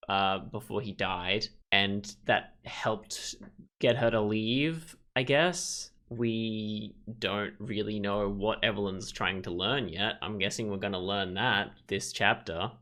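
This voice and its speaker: A man's voice: fairly low pitch, slow pace, pushed and bright. A man hosting a gaming video with casual, blunt, musing commentary as he plays. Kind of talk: casual let's play commentary.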